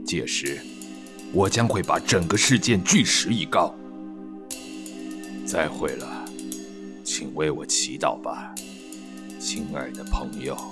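A man's voice: very deep voice, slow, pauses often, over music, heavily acted. A man playing a grave middle-aged aristocrat in a radio drama, with solemn, theatrical delivery. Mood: grieving, solemn. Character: grave middle-aged aristocratic father, reading a grieving letter. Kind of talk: Mandarin radio drama acting.

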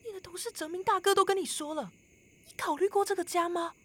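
A woman playing a high-voiced, worried working mother. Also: fast talker, fairly bright tone. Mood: pleading. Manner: pleading, defensive. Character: worried, hard-pressed working mother and wife. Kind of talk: radio drama acting.

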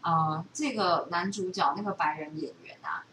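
A woman with a Taiwanese Mandarin accent chatting casually as a podcast co-host, giving animated, opinionated, rambling explanations. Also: on the low side, normal speed. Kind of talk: casual chat about films and series. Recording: roomy, distant mic with steady noise.

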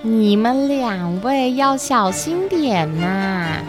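Fairy guardian for storybook drama, acted by a woman: firm, caring, earnest, slow and expressive. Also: on the high side, music in the background. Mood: concerned.

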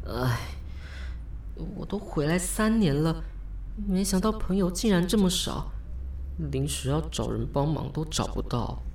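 低沉嗓音、慌張又自嘲的台灣年輕女子. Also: female voice, low voice, expressive, measured pace, a little mellow, slight background noise. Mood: frustrated. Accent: Taiwanese Mandarin. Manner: hesitant and polite aloud, blunt muttering to herself. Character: flustered, self-mocking young woman stranded in stranger's car. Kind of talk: audio drama acting with spoken inner monologue.

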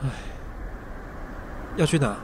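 A man playing an aloof, sharp-tongued but shy teenage schoolboy in a radio drama, curt and reserved, with a Taiwanese accent. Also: slow pace, a little hiss or hum, natural voice. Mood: curt, guarded. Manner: curt, reserved, easily flustered. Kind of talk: Mandarin boys' love radio drama acting.

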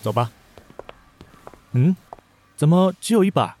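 A man's voice: a little high, lively intonation, measured pace, dark tone, no room sound. Aloof, curt young male boss. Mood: cool. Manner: curt, cool, exasperated. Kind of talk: modern romantic comedy radio drama acting.

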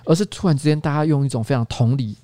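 A man's voice: fairly high pitch, rapid-fire, no room sound, studio quality. A man with a Taiwanese accent hosting a casual, comedic chat podcast, telling stories and acting out other people's reactions. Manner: animated storyteller who acts out quoted reactions. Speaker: podcast host and local politician.

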